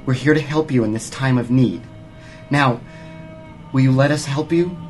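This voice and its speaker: A man's voice: medium pitch, normal speed, a little mellow, natural voice. Self-assured male investigator over music played by an actor. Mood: reassuring. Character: self-assured, combative federal paranormal investigator, adult man. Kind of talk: dramatic audio drama acting.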